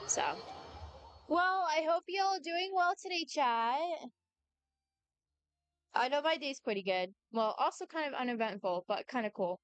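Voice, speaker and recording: A young adult woman chatting casually and informally as she livestreams a game, rambling from thought to thought. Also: mid-range voice. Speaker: game streamer. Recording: game music behind voice. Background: video game music.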